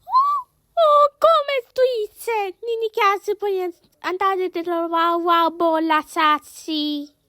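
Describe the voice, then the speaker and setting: A woman's voice: very high-pitched, expressive, slow, pushed and bright, no room sound, studio quality. A woman doing an amateur cartoon fan dub, acting out several characters in an exaggerated, exclamatory way.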